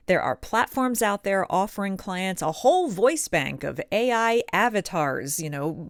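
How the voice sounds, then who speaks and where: A woman hosting a vlog as a professional voice actor, speaking straight to the audience in emphatic, deliberate phrases with pointed pauses. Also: fairly low pitch, dry recording, clean studio sound. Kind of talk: direct-to-audience scripted vlog monologue.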